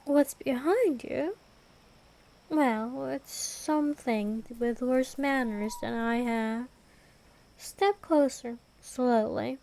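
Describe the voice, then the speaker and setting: A woman playing a commanding, predatory yet protective vampire queen in an intimate ASMR roleplay, deliberate and teasing. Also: high voice, mellow, low-quality recording. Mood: urgent, commanding. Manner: slow, deliberate, teasing, imperious.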